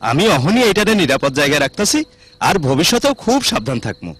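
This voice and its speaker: A man playing a gentle, responsible village father in a cartoon, with a rural Bangladeshi accent. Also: on the high side, big pitch swings, fast talker, noisy background. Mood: apologetic. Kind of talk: animated cartoon acting.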